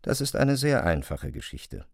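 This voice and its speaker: A man reading scripted literary narration as a storyteller, in a measured, deliberate way. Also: low voice, sing-song, no room sound, studio quality.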